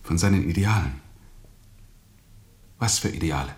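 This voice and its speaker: An actor playing a probing, sceptical listener who questions a captain in a radio play. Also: male voice, low-pitched, animated, unhurried, echoey room.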